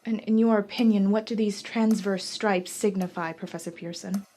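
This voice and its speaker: A young woman plays a radio drama reporter conducting a live interview. The voice sits at an average pitch. The delivery is formal, poised and inquisitive, with scripted phrasing. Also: fairly even intonation, moderate pace, warm tone, lightly acted. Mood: poised, inquiring. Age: young adult. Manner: formal, clearly enunciated, scripted.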